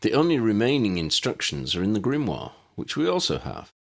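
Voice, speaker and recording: A man's voice: on the low side, wildly swooping pitch, fairly quick, creaky, voice pushed up, heavily acted. A man playing a wry, plain-spoken young adventurer in a fantasy audiobook. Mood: matter-of-fact. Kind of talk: audiobook character dialogue.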